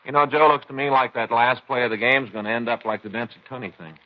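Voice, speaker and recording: An American actor playing a chatty, stubborn police detective's partner in a 1950s radio drama, with a folksy, insistent way of talking. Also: male voice, medium pitch, steady tone, quick pace, natural voice. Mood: insistent, needling. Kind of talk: 1950s radio crime drama acting, conversational. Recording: dull old radio recording.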